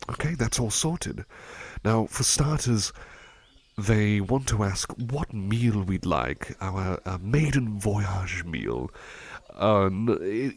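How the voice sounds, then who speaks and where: A man playing a devoted, polite butler in an ASMR roleplay, eager and gently fussy, murmuring hesitantly and repeating himself reassuringly. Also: lowish voice, gravelly, a little hiss or hum, full character voice. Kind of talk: ASMR roleplay, soft personal chat.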